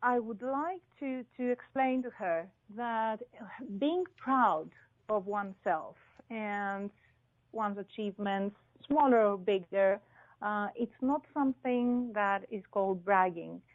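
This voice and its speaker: Slow, thoughtful woman from Greece. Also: medium pitch, narrow, tinny audio. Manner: slow, thoughtful, with many fillers and restarts. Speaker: guest. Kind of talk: interview conversation.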